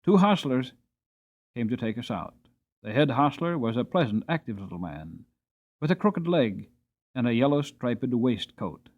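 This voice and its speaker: A man narrating an audiobook in a dramatic storytelling style, with expressive, theatrical emphasis. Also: mid-range voice, normal speed, highs cut off.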